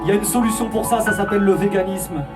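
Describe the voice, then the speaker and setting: A man's voice: high voice, fast talker. A man rapping earnestly on stage at a live concert, addressing the crowd directly. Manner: rhythmic, forceful, earnest rap delivery. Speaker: rapper performing live. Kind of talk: rap performance with crowd talk. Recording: distant live phone capture with loud music. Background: hip hop beat.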